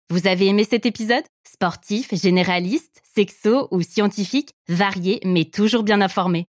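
A woman's voice: medium pitch, dry recording, clean studio sound. Woman reading a podcast outro.

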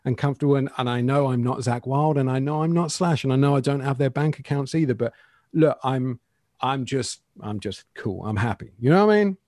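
A man in his forties chatting openly as an interview guest, relaxed and rambling, often tossing in "you know what I mean". His voice sits at an ordinary middle pitch and sounds close and dry, with no room around it. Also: brisk, no echo. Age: 40s. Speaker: guest guitarist. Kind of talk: casual interview chat.

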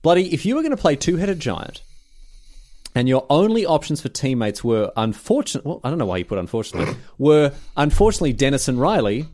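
A man's voice: fairly high pitch, big pitch swings, quick pace, darkish tone. A man co-hosting a podcast in casual chat, teasing and theatrical. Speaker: podcast co-host.

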